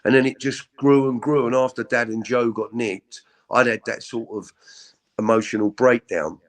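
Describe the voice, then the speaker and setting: A middle-aged man with a deep voice, telling his story as an interview guest in a halting, candid way with many repeats. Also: steady tone. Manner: halting, repeats words, frequent ums.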